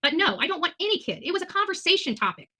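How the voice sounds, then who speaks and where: A woman's voice: extremely high pitch, very animated, rapid-fire, pushed and bright. An American woman answering questions as a guest on a live stream, blunt, combative and given to swearing in unscripted talk. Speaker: talk show host.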